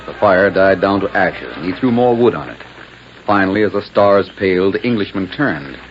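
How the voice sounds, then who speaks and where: A man's voice: low-pitched, expressive, normal speed. A man reading scripted narration for a 1940s radio western drama. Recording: muffled 1940s radio recording with music. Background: music.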